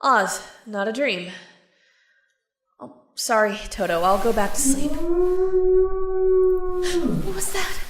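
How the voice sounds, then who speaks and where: A woman's voice: mid-range voice, very animated, takes it slowly, long pauses, a bit bright, a little hiss or hum. A woman playing a plucky young Kansas farm girl in an audio drama, emphatic and sardonic, easily exasperated. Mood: uneasy.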